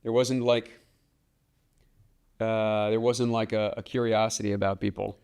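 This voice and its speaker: Smooth-voiced thoughtful man, late thirties. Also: on the low side, flat delivery, a little mellow. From Washington DC area, US. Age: late 30s. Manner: measured, thoughtful, with frequent ums. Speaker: guest, real estate broker. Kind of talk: casual podcast interview.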